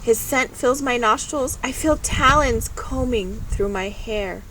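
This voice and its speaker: A woman reading a children's story aloud in a measured, expressive way, pausing between short phrases. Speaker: storybook reader. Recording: loud steady background noise, vehicle-like rumble.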